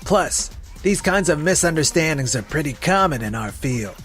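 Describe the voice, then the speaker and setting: A man's voice: fairly high pitch, expressive, moderate pace, a bit bright. Defensive salesman, acted by a man, music behind. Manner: defensive, excuse-making. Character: evasive young salesman making excuses to his boss. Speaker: sales representative character. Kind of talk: scripted manga dub voice acting.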